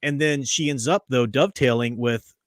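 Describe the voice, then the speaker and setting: Animated man explaining, dry close sound. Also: medium pitch, moderate pace, dry recording. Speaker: podcast host. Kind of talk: casual review discussion.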